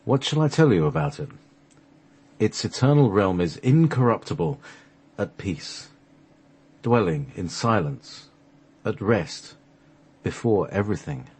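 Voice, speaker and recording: A man reading a mystical scripted text aloud as a radio show host, in a solemn, measured recitation. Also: lowish voice, very animated, darkish tone, breathy edge.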